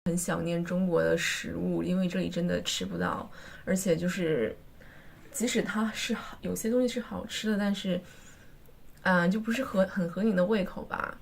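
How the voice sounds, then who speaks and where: A young woman from China, an international student, speaking slowly and with consideration about her life abroad. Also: lowish voice, fairly even intonation, vocal fry. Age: young adult. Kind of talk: interview testimony.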